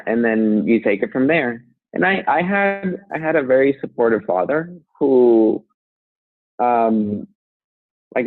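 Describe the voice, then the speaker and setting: A man in his thirties from Colombia, a guest talking casually about his work, relaxed and laughing lightly, often restarting phrases. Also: mid-range voice, no echo. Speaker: guest, software engineer. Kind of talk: casual conversational interview. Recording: tinny phone-like sound, dry.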